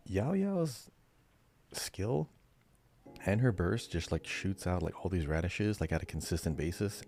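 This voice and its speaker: A man's voice: low voice, warm tone. A man co-hosting a podcast in casual chat, explaining things in a rambling, loosely detailed way. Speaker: podcast co-host.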